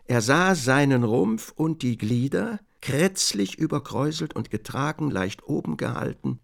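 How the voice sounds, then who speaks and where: A middle-aged man narrating a literary radio drama in a measured, deliberate storytelling manner. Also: fairly high pitch, big pitch swings, gravelly.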